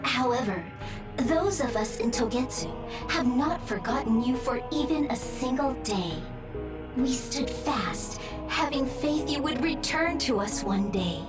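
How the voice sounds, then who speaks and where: An actress playing a solemn, devoted follower who speaks formally and earnestly in a video game dub. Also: female voice, high-pitched, expressive, measured pace, distant mic, loud music behind the voice. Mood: reverent.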